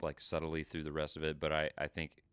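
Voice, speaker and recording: Very deep-voiced casual male podcaster, crisp. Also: monotone, fairly bright tone, no room sound. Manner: informal, sweary, halting as he explains. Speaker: podcast host. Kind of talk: casual review and story recap.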